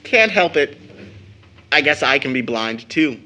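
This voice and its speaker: An actor playing a proud, teasing teenage son in an audio drama of an early 1900s play, with an American accent and a theatrical, boyish manner. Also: male voice, mid-range voice, animated, unhurried, sharp tone. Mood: defiant. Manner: theatrical, jokingly pompous with slangy boyish talk.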